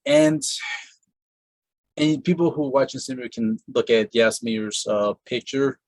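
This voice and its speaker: A man's voice: mid-range voice, unhurried, a little mellow, no echo. A man hosting an interview podcast, chatting casually and rambling with many fillers and restarts. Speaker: podcast host interviewing a voice actress.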